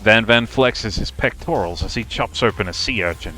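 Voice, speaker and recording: A man's voice: fairly low pitch, normal speed, low-quality recording. A man narrating a game as a live streamer, reading aloud with casual, sweary reactions. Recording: faint music behind.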